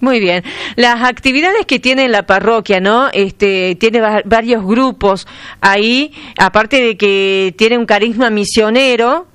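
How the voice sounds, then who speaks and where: Smooth-voiced interviewer woman. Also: fairly high pitch, slow, no echo. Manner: warm, chatty, enthusiastic. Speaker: radio interviewer. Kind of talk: radio interview.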